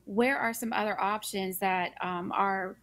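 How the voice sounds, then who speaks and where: A woman's voice: medium pitch, fairly even intonation, measured pace, a bit bright. A woman in her forties leading a nonprofit, talking upbeat and promotional with many ums over a video call. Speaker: nonprofit leader. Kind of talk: interview answers.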